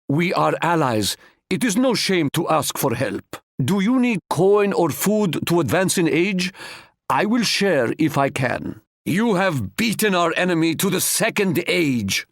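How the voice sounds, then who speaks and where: Proud game sultan, measured and formal, fond of proverbs, dry close recording. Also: male voice, a little high, vocal fry, no echo, full character voice. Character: proud Ottoman sultan, stately and proverb-quoting. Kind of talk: video game voice lines.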